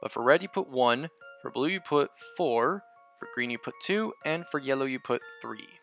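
A man hosting a puzzle game walkthrough, reading hints aloud and gushing excitedly over the characters. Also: mid-range voice, moderate pace, creaky. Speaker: let's-play host. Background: game music.

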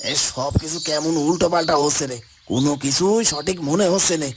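Anxious, high-pitched cartoon kingdom man played by a man. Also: fairly quick, a crowd in the background, natural voice. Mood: uneasy. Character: anxious, suspicious male subject of a fairy-tale kingdom. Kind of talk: dramatic cartoon dubbing.

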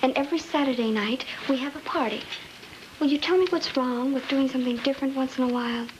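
A woman's voice: high-pitched, steady tone, fast talker, natural voice. An actress playing a restless, argumentative young American girlfriend in a 1950s film drama. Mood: defiant. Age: young adult. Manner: argumentative, challenging. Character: restless, defiant young girlfriend wanting fun. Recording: noisy old film soundtrack.

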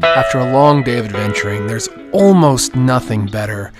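A man reading a relaxed, matter-of-fact voice-over recap of an off-road trip. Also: mid-range voice, normal speed, loud music behind the voice. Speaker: narrator. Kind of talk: scripted voice-over narration.

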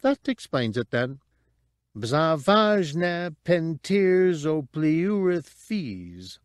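A man's voice: fairly high pitch, sing-song, extremely slow, clear, resonant tone, no room sound, studio quality, voice pushed up, full character voice. A man doing a melodious, fussy protocol droid. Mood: prim, polite. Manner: prim and chatty.

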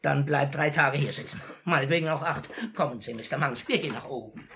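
An actor playing a sly, fawning old miser in a 1950s German radio drama, theatrical and mock-pitiful. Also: male voice, medium pitch, a little fast, vocal fry, pushed and bright. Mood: dismissive.

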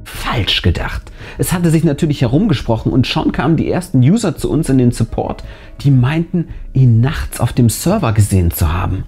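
A man's voice: mid-range voice, very animated, moderate pace, warm tone, breathy edge. A man reading a scripted first-person horror story aloud in a dramatic, suspenseful way. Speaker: narrator and channel host. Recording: music bed behind the voice. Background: background music.

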